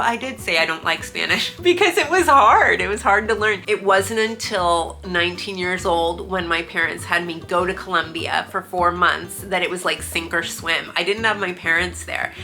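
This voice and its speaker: A woman in her forties with an American accent talks to her viewers in a loose, rambling way, often restarting mid-thought. Her voice sits a bit low but sounds bright and forward. Faint music plays underneath. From United States. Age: 40s. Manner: chatty, rambling, frequent restarts and asides. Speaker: vlogger. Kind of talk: casual personal storytelling.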